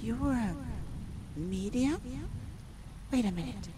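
A woman voicing the lonely, timid ghost of a young man, soft and halting, in an ASMR roleplay. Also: mid-range voice, animated, background noise. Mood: timid, surprised.

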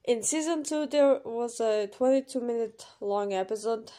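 A woman's voice: fairly high pitch, normal speed, dark tone. Woman giving emphatic instructions. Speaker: channel creator running a voice audition. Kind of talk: informal scripted explainer voice-over with numbered rules.

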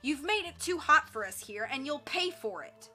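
Male villain played by a woman narrator: angry, gloating gang boss, sarcastic bark, forceful delivery, audiobook. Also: sits high, normal speed, faint music behind. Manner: barking, sarcastic.

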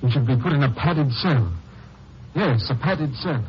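An actor playing a remorseful, anguished American judge in a 1950s radio drama. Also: male voice, medium pitch, animated, measured pace, breathy edge. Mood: anguished, defiant. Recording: dull, faintly noisy old radio recording.

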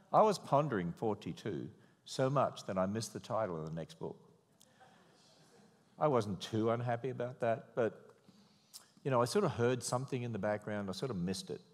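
Older Australian man preaching in a hall. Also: on the low side. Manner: wry, animated storyteller, mock-quoting others in raised voice. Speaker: conference speaker. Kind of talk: sermon with storytelling. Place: large church auditorium. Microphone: podium microphone. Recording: long hall reverb.

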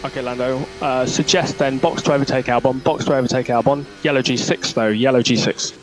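A man working as a race engineer, giving clipped, calm, repeated instructions over team radio. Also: mid-range voice, fairly quick. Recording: noisy motor racing radio, engine noise. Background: car engine noise.

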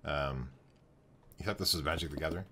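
Young male streamer, very low voice turning hoarse, casual think-aloud commentary at a desk mic, light keyboard noise. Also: fairly quick, a bit bright. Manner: thinking aloud while building, greeting chat viewers. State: losing his voice. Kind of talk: live gameplay commentary.

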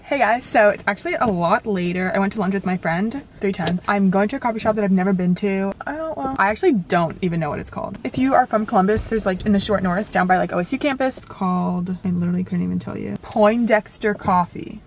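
A woman's voice: mid-range voice, fast talker, slight background noise. A young woman in a parked car, talking casually to a dashboard camera while reviewing coffee shops, with lots of filler words. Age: young adult. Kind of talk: casual vlog chat.

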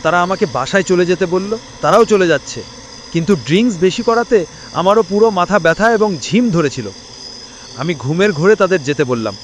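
A man telling a first-person ghost story as narration for a cartoon, in a lively storytelling manner. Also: high-pitched, a little fast, slight background noise. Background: loud music with crickets chirping.

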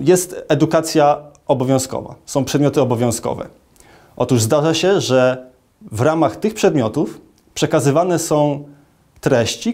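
A man in his thirties, a lawyer, giving a formal statement to camera in an office, speaking in a measured way with frequent hesitation fillers. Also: medium pitch, darkish tone. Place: indoor office with large arched window.

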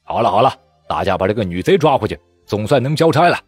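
A man voicing a scornful army officer with a sneering, husky voice. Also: fairly low pitch, big pitch swings, quick pace, no room sound, faint music behind. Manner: sneering, dismissive.

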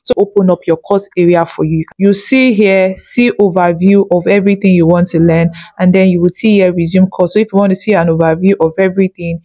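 A woman's voice: on the low side, fairly even intonation, brisk, hardly any pauses, dark tone, no room sound, highs cut off. A woman narrating a step-by-step tutorial as an instructor, patiently checking that listeners follow.

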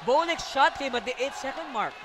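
Male play-by-play caller, very high and excitable, noisy arena broadcast behind him. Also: a little fast, crowd noise. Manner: energetic, rises to shouts on big plays. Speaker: play-by-play commentator. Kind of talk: live basketball commentary. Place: courtside booth in a packed arena.

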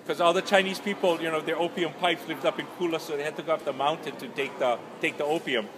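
A man, talking informally as an author and telling stories to an audience in an animated, colloquial way. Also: on the high side, fast talker. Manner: animated, gesturing, colloquial storyteller. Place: large indoor festival hall, noise behind. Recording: noisy large hall, ambient background noise.

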